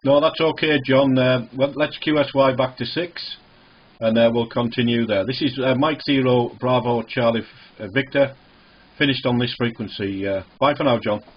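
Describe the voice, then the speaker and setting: A man speaking in a measured, matter-of-fact way as an amateur radio operator in a casual contact, heard over a radio. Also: mid-range voice, fairly even intonation, slight background noise. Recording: narrow, tinny phone-like radio audio.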